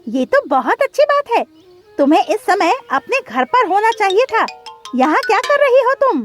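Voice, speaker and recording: A woman's voice: very high voice, very animated, moderate pace, faint music behind, pitched above the performer's own voice. A woman voicing an idle, friendly male crow who chatters in a cartoon story. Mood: chatty, curious.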